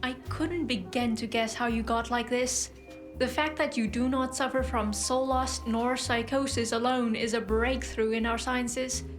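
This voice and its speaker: A woman performs a long-lived scientist-healer for an audio drama. Her voice sits fairly high, and she explains things in precise, clinical terms with detached fascination. Soft music plays underneath. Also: steady tone, a little slow, close to an everyday voice. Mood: clinical, intrigued. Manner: clinical, articulate, lecturing.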